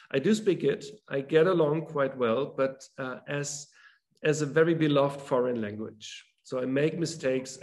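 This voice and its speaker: German man chatting on a video call. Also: mid-range voice. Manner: halting, with many restarts and fillers. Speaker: author guest. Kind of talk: casual interview talk. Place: home room with a large drawing on the wall. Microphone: video call webcam.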